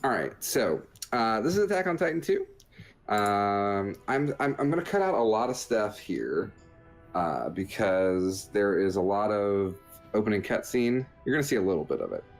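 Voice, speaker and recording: Low-voiced man casually talking through a game. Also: sing-song, moderate pace, faint music behind. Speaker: podcast host reviewing a video game. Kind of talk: casual commentary over gameplay footage.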